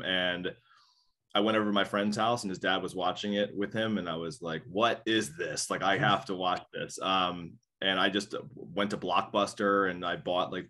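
A man's voice: low voice, bright, forceful tone. A man in his thirties, a guest on a video call, talking casually in a rambling way with many restarts. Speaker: podcast guest. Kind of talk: casual interview chat. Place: small indoor room.

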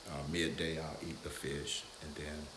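A man in his fifties giving a relaxed, conversational how-to talk straight to the camera in his home kitchen. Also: extremely low pitch, unhurried. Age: 50s. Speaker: vlogger. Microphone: camera mic from a few feet away. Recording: echoey kitchen with background noise.

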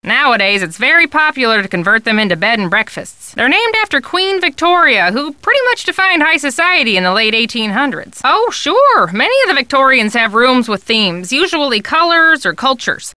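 A woman's voice: sits high, very animated, moderate pace, hardly any pauses, pushed and bright, smooth, no room sound, exaggerated voice. An actress playing a chatty, scatterbrained woman photojournalist who gushes and rambles, in a video game. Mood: chatty, informative. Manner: gabby, gushing, rambling. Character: chatty, warm, scatterbrained woman photojournalist, easily carried away. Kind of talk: video game character dialogue.

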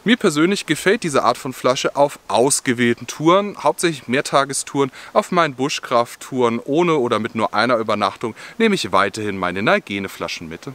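Young guy outdoors in the woods doing a gear review, bright voice, friendly explainer vibe. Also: medium pitch, animated, a little fast, few pauses. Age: young adult. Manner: friendly, explanatory, with scripted-sounding product details. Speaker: outdoor gear channel host. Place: outdoors in a forest.

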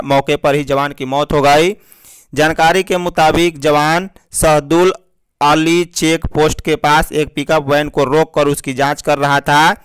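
Male news reader, clean smooth voice, formal scripted delivery, dry close sound. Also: fairly high pitch, no room sound. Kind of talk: scripted news bulletin narration.

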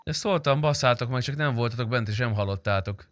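A young man streaming an online roleplay game, bantering casually and bluntly with coarse language. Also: medium pitch. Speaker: gaming streamer. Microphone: close streamer microphone.

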